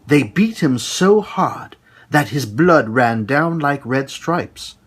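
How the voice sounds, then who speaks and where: A man hosting storytime for children, reading and talking to them in an expressive, emphatic way. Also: medium pitch, husky. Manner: animated, slow, emphatic delivery for young children. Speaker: storytime host and children's teacher.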